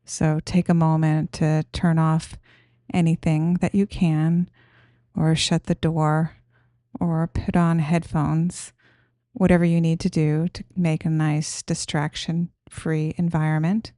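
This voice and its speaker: A woman guiding a meditation in a gentle, soothing, unhurried manner, giving simple instructions. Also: deep voice, level tone, no room sound, clean studio sound. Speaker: meditation guide.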